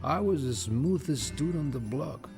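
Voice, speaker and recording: Ailing ladies' man, gravelly, unhurried, wry wisecracks, radio drama, music behind. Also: medium pitch, expressive. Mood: wistful joking. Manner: slow, wry, joking.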